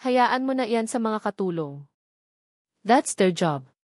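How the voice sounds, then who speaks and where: A woman's voice: medium pitch, lively intonation, takes it slowly, darkish tone, dry recording, clean studio sound. A woman voicing an elegant, haughty wealthy woman who speaks with cool condescension. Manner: condescending, coolly sweet.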